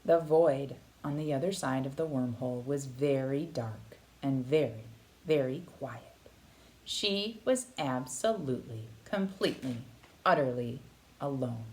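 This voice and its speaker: A woman narrating a children's picture book aloud with expressive, dramatic phrasing. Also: low-pitched. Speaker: read-aloud storyteller.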